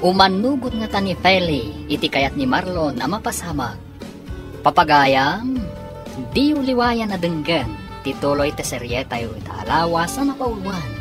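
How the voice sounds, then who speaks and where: A woman's voice: lowish voice, very animated, normal speed. A woman with a Filipino accent announcing a radio drama in scripted narration and credits, over music. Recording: prominent theme music behind voice.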